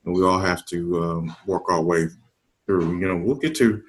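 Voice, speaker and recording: An older man speaking in a measured conversational discussion, pausing often with ums as he gathers his thoughts. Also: deep voice, steady tone. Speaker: discussion panelist.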